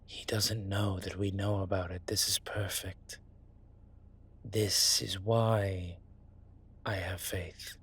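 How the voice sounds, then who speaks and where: A man playing a suave, sentimental vampire in a scripted audio roleplay, speaking in measured, wry, formal phrases with a husky, breathy voice. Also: low-pitched, flat delivery, a bit bright, full character voice. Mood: thoughtful, hopeful. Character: suave, sentimental male vampire, tired and scheming. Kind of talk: scripted intimate audio roleplay, one side of a conversation.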